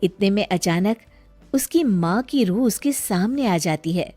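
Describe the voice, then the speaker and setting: A woman's voice: medium pitch, lively intonation, unhurried, warm tone, dry recording, soft background music. A woman reading a scripted moral story as its narrator.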